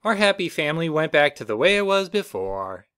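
Male reader, animated storybook delivery, dry home recording. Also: brisk, smooth, no echo. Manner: lively storybook reading with playful pitch jumps. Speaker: reader of a children's book. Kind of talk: reading a picture book aloud.

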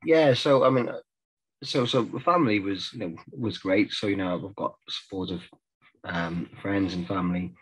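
Man, very low voice, husky, halting with ums and restarts, webcam call from home. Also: rough recording. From South Africa. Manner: hesitant, many fillers and restarts. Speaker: therapist. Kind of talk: reflective group discussion on video call. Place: home room. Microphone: video call webcam.

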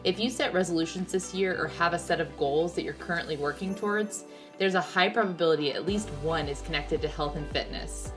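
A woman's voice: on the low side, fairly quick, over music. A woman in her thirties hosting a podcast, enthusiastic and upbeat as she introduces her guest and asks questions. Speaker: podcast host. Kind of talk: casual interview, scripted intro. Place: living room at home. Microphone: headset.

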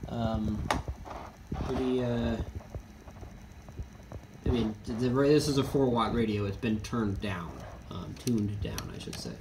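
Slow-talking, halting man, noisy recording. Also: on the low side, gravelly. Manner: halting, matter-of-fact. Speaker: presenter of radio equipment tests. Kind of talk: casual hands-on demonstration. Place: indoors at a wooden table.

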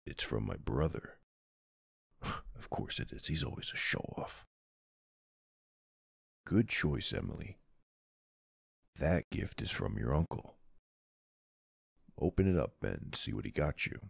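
Man playing a very deep, gravelly doting husband. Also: big pitch swings, no room sound, studio quality, heavily acted. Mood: surprised, gentle. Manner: tender, soothing, with soft pauses. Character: doting mafia boss husband and gentle father. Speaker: roleplay audio performer. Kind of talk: ASMR husband roleplay.

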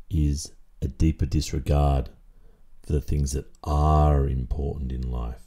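An Australian man performing an ASMR roleplay as a stern, controlling boyfriend, speaking in a deliberate, measured way. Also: a bass voice, dark tone. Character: stern, controlling, possessive boyfriend lecturing his partner.

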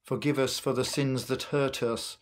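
An older man leading church worship and prayer in a solemn, measured manner. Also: mid-range voice, level tone. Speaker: worship leader. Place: indoor room at home, in front of curtains.

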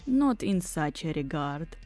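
Brief: a woman playing a stern insect matriarch, Russian accent, dark low voice, very slow and deliberate. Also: very animated, dry recording, soft background music, heavily acted. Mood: grave. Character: stern commanding female insect-bodied matriarch.

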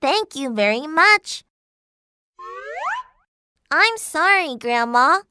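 A woman's voice: sits high, big pitch swings, extremely slow, bright, forceful tone, faint music behind, full character voice. A woman voicing a sweet young girl in a children's English-lesson cartoon, enunciating carefully. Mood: apologetic.

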